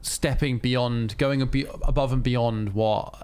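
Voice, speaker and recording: A man's voice: mid-range voice, steady tone, measured pace, a little mellow, clean tone. A British man co-hosting a podcast, talking in a rambling, opinionated way with many fillers. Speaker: podcast co-host. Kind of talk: casual chat.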